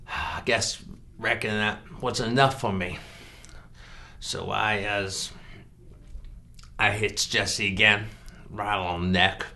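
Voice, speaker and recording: A man acting a slow, low, monotone rural killer. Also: heavily acted. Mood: grim, deadpan. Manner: halting, deadpan, grunts "mm" between short phrases. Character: slow, simple, flat-voiced rural killer confessing his past. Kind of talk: improvised film monologue reenactment.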